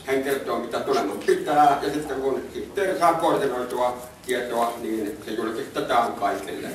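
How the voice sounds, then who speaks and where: Very echoey man making announcements. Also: medium pitch. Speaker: club secretary addressing a meeting. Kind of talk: formal meeting announcement. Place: indoor meeting room, camera in the audience. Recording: very echoey room, distant mic, noisy.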